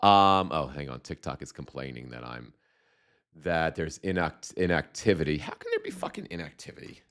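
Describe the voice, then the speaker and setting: A man hosting a live stream with a slight cold and a voice going hoarse, chatting in a rambling, halting way full of fillers and restarts while reading viewer comments. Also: a bass voice, wildly swooping pitch, a bit bright. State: slight cold, losing his voice. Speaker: livestream host.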